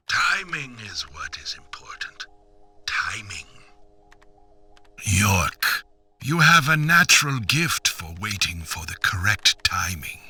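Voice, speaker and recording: Theatrical, rasping, eccentric rich informant. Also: male voice, medium pitch, bright, forceful tone, heavily acted. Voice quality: breathy and rough, often dropping to a whisper. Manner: dramatic, drawn-out, cryptic, lecturing. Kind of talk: theatrical video game voice acting.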